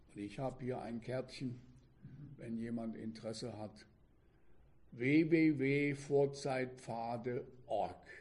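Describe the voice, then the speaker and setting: An elderly man in his eighties preaching to a congregation, speaking in deliberate, measured phrases with pauses and sharing personal reminiscences. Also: medium pitch, a little mellow. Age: 80s. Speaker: preacher, former religious radio broadcaster.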